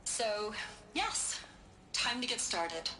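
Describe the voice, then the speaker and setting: A woman's voice: on the high side, sing-song, drawn-out words, sharp tone, exaggerated voice, poor audio. An actress playing a playful female scientist explaining her work in a recorded message in a video game. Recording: game audio with background noise.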